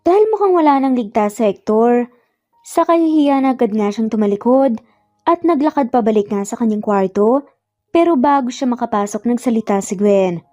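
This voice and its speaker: A woman's voice: on the high side, lively intonation, normal speed, warm tone, dull audio, soft background music. A woman with a Filipino accent narrating a scripted dramatic story.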